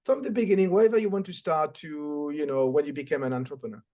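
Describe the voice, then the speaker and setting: A man from France hosting a business podcast, reading a scripted intro and then asking relaxed interview questions. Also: fairly high pitch, fast talker. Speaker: podcast host.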